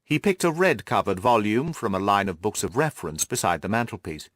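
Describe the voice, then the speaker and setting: A man reading literary first-person narration for an audiobook in a measured, formal storytelling manner. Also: lowish voice, lively intonation, fairly bright tone, no room sound.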